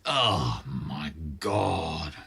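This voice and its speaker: An actor playing a proud, nostalgic older restaurateur father, expressive and theatrical in manner. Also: very deep voice, drawn-out words, vocal fry, slightly rough, exaggerated voice. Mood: scornful. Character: proud, nostalgic Italian-Australian restaurateur father, persuasive and dismissive.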